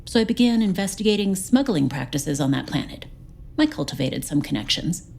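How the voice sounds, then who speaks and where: A woman playing a wry, capable investigator officer, reporting in a brisk, matter-of-fact way. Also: lowish voice, expressive, vocal fry, a little mellow. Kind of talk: audio drama acting.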